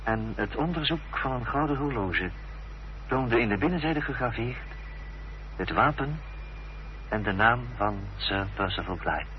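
Radio drama lead: actor as earnest gentleman narrator, deliberate suspenseful delivery, dull vintage sound. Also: mid-range voice, lively intonation, a little hiss or hum, close to an everyday voice. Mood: sombre. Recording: dull, faintly humming old radio recording.

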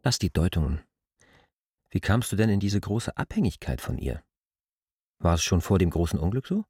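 An argumentative, judgmental outsider in a radio play. The voice is low and very animated, recorded dry and close. He speaks in an insistent, probing way. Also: moderate pace, slightly rough, no room sound, exaggerated voice. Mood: reproachful. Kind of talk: radio drama acting.

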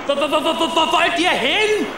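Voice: very high-pitched, normal speed, noisy background. Stotternde, kreischend hohe Rattenfigur, hallig. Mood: gleeful menace. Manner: stuttering, cackling. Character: gleefully taunting, stuttering sewer rat villain. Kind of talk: children's radio play acting. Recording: heavy echo, faint music.

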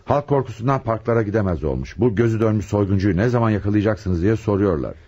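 An actor playing a grave, determined police chief inspector in a radio drama. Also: male voice, on the low side, animated, fast, warm tone, breathy edge. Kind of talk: radio play acting. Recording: muffled old radio drama, faint noise.

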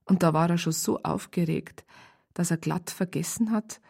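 A woman's voice: fairly low pitch, moderate pace, vocal fry, a little mellow, slightly rough. A woman playing a wry narrator in a radio crime drama, telling the story in a conversational, colloquial way.

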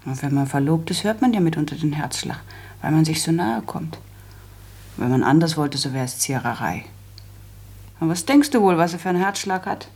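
Brisk, practical grown daughter. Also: female voice, low-pitched, animated, a little mellow. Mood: assured, decisive. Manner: brisk, matter-of-fact, bossy. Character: practical, self-assured grown daughter, managing and unsentimental. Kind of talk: German literary radio drama acting.

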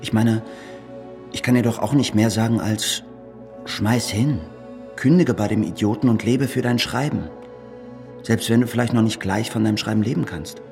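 A man's voice: lowish voice, moderate pace, gravelly, a little mellow, soft background music. An actor playing a worried, earnest male writer in a German radio play, with a hesitant, searching and pleading delivery. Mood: troubled, unsure.

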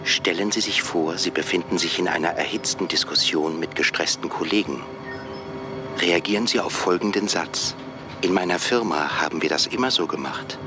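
Male actor as machine interviewer, extremely low voice, impersonal, formal, clipped, radio play, faint music. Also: moderate pace, close to an everyday voice. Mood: testing, impersonal. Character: cool, formal job-interview computer program. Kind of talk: radio drama acting.

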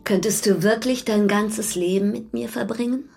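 Actress as seductive female lover, creaky voice, intimate, insistent, radio play, faint music underneath. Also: mid-range voice, normal speed, darkish tone, lightly acted. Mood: insistent, probing. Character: passionate, insistent married woman, seductive lover. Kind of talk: German radio drama acting.

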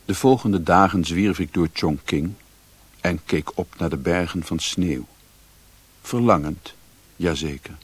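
An actor playing a reflective adventurer who narrates his own story in a radio drama, with a husky voice. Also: low-pitched, measured pace, dry recording. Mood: reflective, narrating.

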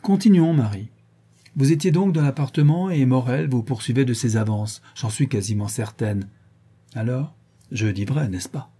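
Stern cold lady grilling suspects, guy doing her in a dark husky voice, storybook reading. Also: medium pitch, moderate pace. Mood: probing. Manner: cold, probing, relentlessly accusing. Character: stern sharp-witted middle-aged woman investigator.

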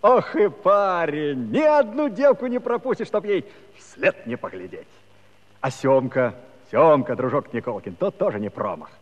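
An actor playing a garrulous old Cossack villager, telling a comic tale with pompous, folksy turns of phrase. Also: male voice, a little high, sing-song, unhurried. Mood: storytelling. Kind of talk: comic storytelling in a musical radio play. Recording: dull, noisy old 1970s recording.

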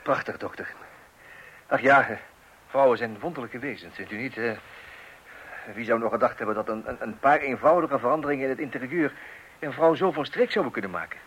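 An actor playing a cheerful, oblivious husband in a comic radio play, chatty and hesitant, repeating himself with self-satisfaction. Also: male voice, mid-range voice, lively intonation, brisk, fairly bright tone, lightly acted. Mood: sheepish, puzzled. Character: cheerful, oblivious husband proud of his eccentric redecorating. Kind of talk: radio play comedy acting. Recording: roomy, noisy old radio play recording.

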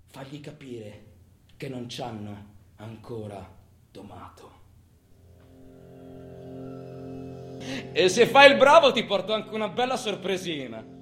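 An actor playing a tormented, volatile criminal in a theatrical monologue, foul-mouthed and swinging between muttering and outbursts. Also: male voice, a little high, lively intonation, moderate pace, over music, close to an everyday voice. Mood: taunting, menacing. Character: tormented, volatile criminal man, taunting and cursing himself. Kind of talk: theatrical monologue, radio stage drama. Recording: roomy echo, faint background noise.

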